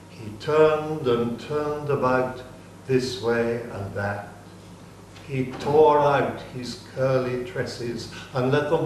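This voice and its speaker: Casting: male narrator, slow vivid reading, mid-pitched voice, heard far off in a very echoey hall. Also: a little hiss or hum.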